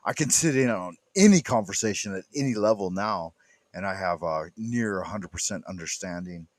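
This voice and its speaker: A man's voice: low-pitched, moderate pace, no echo. A middle-aged man hosting a casual talk, rambling and prone to interjecting. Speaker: show host. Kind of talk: casual chat.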